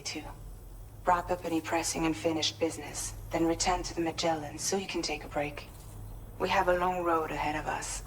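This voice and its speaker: A calm caring crew leader. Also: female voice, sits low, slight background noise, rough recording. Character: composed woman leading a crew, caring. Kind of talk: video game cutscene dialogue.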